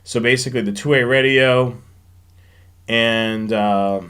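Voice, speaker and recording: A man with a mid-pitched voice hosts a casual live stream. He talks informally, explaining technical points and thinking out loud as he answers questions. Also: steady tone, slow, clean tone. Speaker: host of a railfanning live show.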